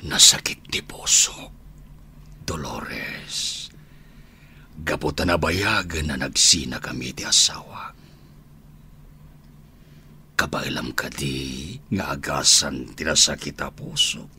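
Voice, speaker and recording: Very deep-voiced flirtatious gentleman. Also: slow, long pauses, a little hiss or hum, full character voice. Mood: pleading, lovelorn. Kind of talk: Ilocano radio drama acting.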